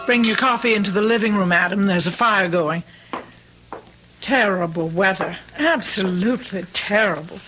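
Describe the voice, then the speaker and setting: A woman's voice: mid-range voice, moderate pace, noisy background, natural voice. An actress playing an exasperated, bossy older mother-in-law in a radio drama, emphatic and curt. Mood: curt, suspicious. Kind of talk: old-time radio drama acting.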